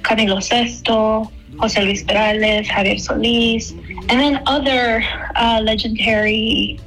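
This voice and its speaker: A woman's voice: on the high side, fairly even intonation, measured pace, fairly bright tone, faint music behind, poor audio. A young woman from El Salvador, a musician, answering interview questions in a chatty way with many fillers and restarts. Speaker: guest musician.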